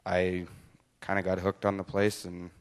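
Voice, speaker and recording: A young man from Colorado, a graduating student, speaking in a steady, measured way. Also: low voice, clear, resonant tone, big-room sound.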